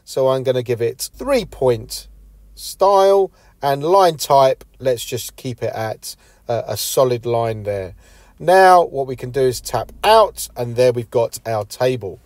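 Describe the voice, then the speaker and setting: A man's voice: medium pitch, expressive, unhurried, a little mellow, dry recording. A middle-aged man talking through a tablet app tutorial step by step, explaining each tap like a teacher. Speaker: education technology trainer. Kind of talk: screen-recorded tutorial walkthrough.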